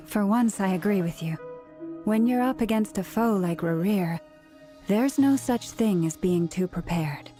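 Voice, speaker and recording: A woman plays a level-headed young game adventurer with a mellow, medium-pitched voice. She is direct and supportive, over faint music. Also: unhurried, natural voice. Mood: calm, supportive. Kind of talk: video game voice acting.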